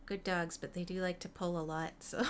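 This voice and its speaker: A woman's voice: lowish voice, very animated, a little hiss or hum. A woman streamer chatting casually with viewers while she draws, a little sniffly. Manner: slow, chatty, reads viewer messages aloud. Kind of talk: casual livestream chat while drawing.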